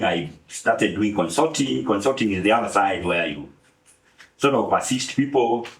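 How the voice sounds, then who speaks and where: A man in his seventies from Kenya, giving a relaxed, humorous account of his career with many pauses. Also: fairly low pitch, roomy sound, poor audio. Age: 70s. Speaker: guest speaker. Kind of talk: relaxed storytelling talk.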